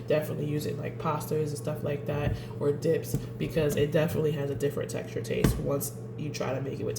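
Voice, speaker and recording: A woman's voice: sits low, gravelly, reverberant. A woman with a congested voice from a cold hosts a casual home cooking tutorial, chatty and often tagging her sentences with "right?". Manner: chatty, keeps adding "right?". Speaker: cooking channel host. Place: home kitchen.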